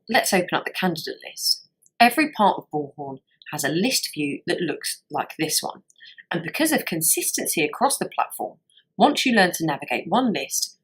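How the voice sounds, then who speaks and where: A woman narrating a scripted software tutorial as a trainer, explaining things step by step in an instructional way. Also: lowish voice, husky, rough recording.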